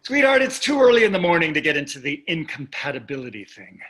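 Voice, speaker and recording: An actor in a staged play reading, playing a wealthy older husband who is dry, teasing and condescending. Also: male voice, fairly high pitch, very animated, quick pace, fairly bright tone. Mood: exasperated. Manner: dry, needling, condescending endearments.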